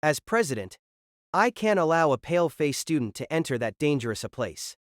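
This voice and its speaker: A man playing an authoritative young student council president in an audio drama, speaking in a formal, measured way. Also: on the high side, very animated, no room sound, studio quality. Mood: grave, firm.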